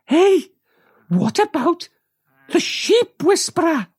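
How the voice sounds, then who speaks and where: A woman playing an excitable, credulous farmer's wife in a comic radio play. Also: extremely high pitch, slow pace, dry recording, clean studio sound, full character voice. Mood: excitable, earnest.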